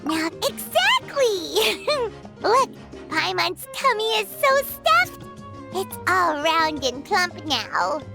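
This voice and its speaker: A woman voicing a small, cheerful, food-loving fairy companion in an anime game, squeaky and childlike. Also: big pitch swings, extremely slow, vocal fry, over music, full character voice. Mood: contented, sleepy. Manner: speaks of herself in third person.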